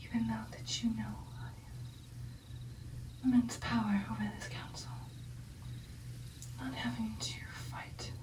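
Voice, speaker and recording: A young woman playing a tender, powerful vampire girlfriend in an ASMR roleplay, with a soft, breathy, deliberate delivery. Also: fairly high pitch, little pitch movement, distant mic, rough recording. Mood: pleased, reassuring. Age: young adult. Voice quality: breathy whisper. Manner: soft, intimate, reassuring, deliberate. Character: tender, powerful female vampire girlfriend addressing her coven.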